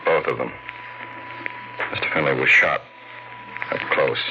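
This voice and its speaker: Muffled old radio, a low slow cop, grave. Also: male voice. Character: grave slow-talking policeman at a crime scene. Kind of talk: 1940s radio thriller acting. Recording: noisy, muffled 1940s radio recording.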